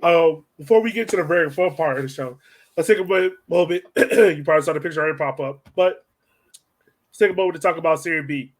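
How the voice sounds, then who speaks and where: A man's voice: fairly high pitch, fast. A man hosting a casual sports podcast, putting questions to a guest. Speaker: podcast host.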